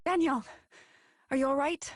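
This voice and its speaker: Casting: actress for concerned female game character, high voice, anxious care, dry studio sound. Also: fairly even intonation, normal speed, dry recording, lightly acted. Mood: worried, relieved. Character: concerned woman anxious for her friend. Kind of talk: dramatic video game voice acting.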